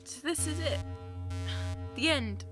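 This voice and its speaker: A woman's voice: high voice, slow pace, over music. A woman playing a grieving mother. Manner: grief-stricken, shaken. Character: grieving older sister, mother who lost her sons. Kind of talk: amateur radio drama acting.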